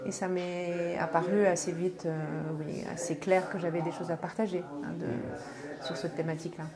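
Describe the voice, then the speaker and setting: A woman's voice: fairly low pitch, flat delivery, moderate pace. A middle-aged woman, a former teacher turned psychopedagogue, telling a personal story in an interview, with frequent hesitations. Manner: warm storytelling, many fillers. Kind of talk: vox pop interview. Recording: busy crowd behind.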